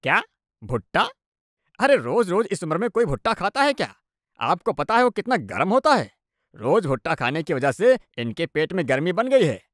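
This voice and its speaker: A man's voice: high-pitched, fairly bright tone, no room sound. A man plays a disapproving family doctor in a cartoon moral tale. He speaks bluntly, in a matter-of-fact, chiding manner. The recording is close and dry. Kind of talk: cartoon moral story dialogue.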